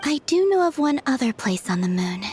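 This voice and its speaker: A woman voicing a calm, perceptive and gently kind young investigator in a video game, speaking in a measured, thoughtful, soft-spoken way. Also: sits high, slight background noise. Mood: thoughtful, analytical.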